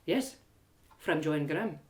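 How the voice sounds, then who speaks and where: A woman's voice: deep voice, lively intonation, takes it slowly, a little mellow, pitched below the performer's own voice. A woman voicing a frank, inquisitive former woman thief in an audio drama, talking in a chatty, knowing, probing way. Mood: confessing.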